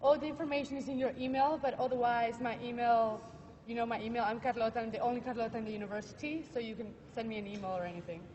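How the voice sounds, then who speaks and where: Quick, direct young female student rep, slightly high voice, in a lecture hall. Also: fairly even intonation, a little mellow, dull audio, a little hiss or hum. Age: young adult. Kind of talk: brief class announcement.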